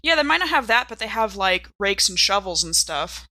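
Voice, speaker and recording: A woman chatting playfully as a player in a tabletop game, improvising her character's actions in an animated, casual way. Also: mid-range voice, normal speed, no room sound. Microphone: close studio microphone.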